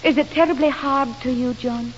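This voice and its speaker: Actress doing a yearning, determined woman in a play, high voice, dull echoey hall recording. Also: fairly even intonation, moderate pace, a little hiss or hum, close to an everyday voice. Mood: wistful, anxious. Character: plain, devoted, self-doubting, determined Scottish woman. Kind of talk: stage drama acting.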